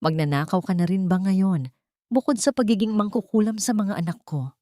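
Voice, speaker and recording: A woman's voice: mid-range voice, fairly quick, mellow, no echo, natural voice. A woman with a Filipino accent voicing a cold, scornful, taunting villainess in an audiobook melodrama. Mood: accusing, scornful. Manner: taunting, accusing.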